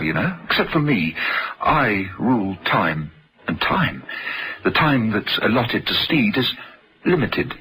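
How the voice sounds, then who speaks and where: A man's voice: medium pitch, sharp tone, rough recording. An actor playing a gloating, unhinged male assassin in a radio thriller, menacing and savouring each phrase.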